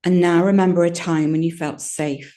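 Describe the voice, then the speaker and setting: A woman leading a guided meditation in a soothing, gentle manner, giving unhurried instructions with pauses. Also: on the low side, steady tone. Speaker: yoga nidra meditation guide.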